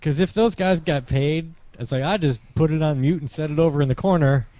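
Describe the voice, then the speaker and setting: A man with a slightly high voice chats casually as a guest on a panel. His manner is wry and self-deprecating. He is recorded dry, without room echo. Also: brisk, mellow. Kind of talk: casual group chat.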